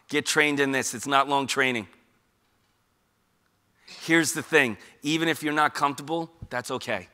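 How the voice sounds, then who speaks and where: Man, New York accent, middle-aged, rhetorical questions, bright and ringing tone. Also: fairly high pitch. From Queens, New York. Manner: conversational and emphatic, with frequent rhetorical questions. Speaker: speaker at a suicide prevention talk. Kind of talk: informal public talk with slides.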